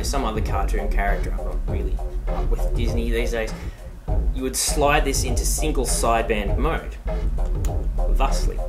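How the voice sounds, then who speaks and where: A man with a mid-pitched voice gives a casual, hobbyist walk-through of equipment, dropping in dry asides. It is a homemade recording from a handheld device in a room, with prominent music playing behind him. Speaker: hobbyist video presenter. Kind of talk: casual talking product demonstration. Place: indoors in a room at home. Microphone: handheld iPod's built-in microphone.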